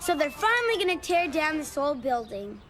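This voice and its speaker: A woman's voice: high voice, big pitch swings, fairly quick. An eager cartoon boy sidekick. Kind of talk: cartoon voice acting. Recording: studio voice over loud cartoon music.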